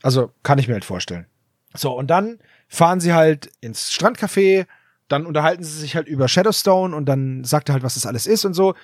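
Male podcast co-host, fairly high voice, dry close sound, explains plot at length. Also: expressive, fairly quick, no room sound. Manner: explains plot details, reads aloud from the book. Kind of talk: casual chat.

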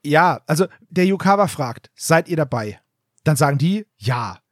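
A man's voice: a little high, lively intonation, moderate pace, no echo, studio quality. A man co-hosting a podcast, chatting casually and animatedly about an audio drama. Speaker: podcast host.

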